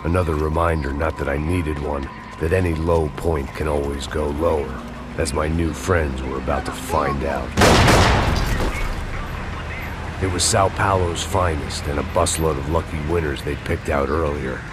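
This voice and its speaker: An actor playing a world-weary, cynical middle-aged American ex-cop, narrating a hard-boiled noir video game in a sardonic deadpan. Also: male voice, a bass voice, moderate pace, over loud music. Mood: weary, wry. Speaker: narrating lead character.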